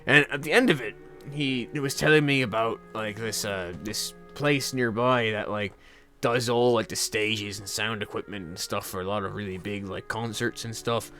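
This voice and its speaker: A young man casually telling rambling anecdotes in a halting way, repeating words and often chuckling. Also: lowish voice, fairly even intonation, sharp tone, soft background music.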